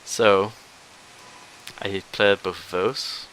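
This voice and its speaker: A man's voice: on the low side, steady tone, very slow, fairly bright tone, rough recording. A man who hosts a podcast, chatting casually about video games and debating in a hesitant, defensive way. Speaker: podcast host. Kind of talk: casual video game review chat. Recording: hissy background noise.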